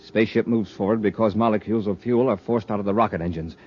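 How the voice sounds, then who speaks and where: An actor playing a resolute, quick-thinking space commander, earnest and commanding, in an old-time radio serial. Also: male voice, on the low side, steady tone, darkish tone, close to an everyday voice. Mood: instructive, brisk. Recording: dull, noisy old radio recording.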